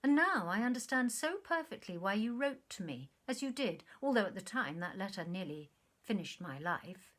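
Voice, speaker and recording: A woman's voice: medium pitch, sing-song, moderate pace, fairly bright tone. A woman voicing a self-absorbed, wistful man who reminisces to a former lover, effusive and earnest, in a short story reading. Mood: wry, reproachful.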